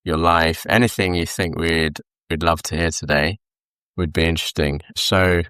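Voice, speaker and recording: A man hosting an interview podcast, friendly and slightly halting as he welcomes and prompts his guest. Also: low-pitched, steady tone, a bit bright, smooth, no room sound. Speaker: podcast host.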